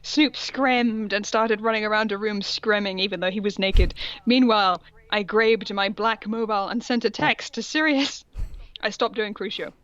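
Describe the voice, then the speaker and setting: A woman's voice: medium pitch, steady tone, moderate pace, fairly bright tone, no room sound, highs cut off. A woman co-host reading fan fiction aloud in a comic dramatic style, mock-serious and amused, with casual sweary asides.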